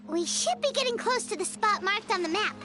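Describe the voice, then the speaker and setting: A woman's voice: extremely high pitch, animated, a little fast. A woman voicing a small, childlike companion creature in a video game, chirpy and curious. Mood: excited, curious. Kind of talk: video game character acting. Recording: game music behind the voice.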